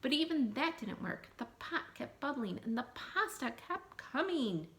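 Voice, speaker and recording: A woman reading a picture book aloud to children in an animated, expressive way, adding asides and questions in mock surprise. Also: high-pitched, unhurried, slight background noise. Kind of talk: animated read-aloud storytelling with asides to young listeners.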